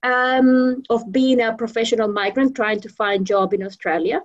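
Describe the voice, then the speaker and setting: A woman's voice: a little high, fairly even intonation, moderate pace, lo-fi sound. A woman from Venezuela whose first language is Spanish, a professional trainer being interviewed, talking with many fillers and restarts. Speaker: guest, professional trainer and mentor. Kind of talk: interview conversation.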